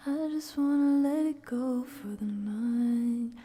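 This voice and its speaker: A woman singing softly as a streamer on an ASMR stream of song requests. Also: a little high, takes it slowly, husky, long reverb tail, faint music behind. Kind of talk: soft ASMR singing stream with casual chat.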